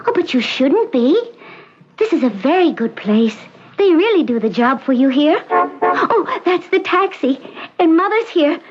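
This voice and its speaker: An actress playing a fragile, frightened young woman who speaks in a high, plaintive, pleading voice. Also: sing-song, unhurried, lo-fi sound, over music, exaggerated voice. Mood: bright, dazed.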